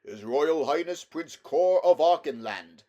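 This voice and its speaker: A man voicing a formal royal herald in a storybook, making loud, ceremonious proclamations. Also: fairly high pitch, lively intonation, a little slow, dull audio, pitched above the performer's own voice. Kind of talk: storybook character voice.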